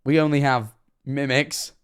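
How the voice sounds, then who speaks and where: British man, livestream reaction commentary, casual, sarcastic, sweary, mid-pitched voice. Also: little pitch movement, unhurried, smooth. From Britain. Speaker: livestream host reacting to a video.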